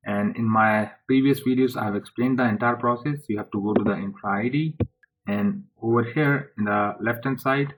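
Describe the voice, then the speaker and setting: A man presenting a software tutorial step by step in an informal way, speaking haltingly in short phrases. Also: medium pitch, slight background noise, low-quality recording.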